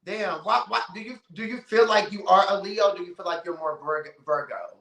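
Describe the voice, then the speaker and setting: A playful man in his thirties with a high, bright voice hosts a casual interview. He teases his guest and bursts into exclamations. The room is echoey. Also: normal speed. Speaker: podcast host.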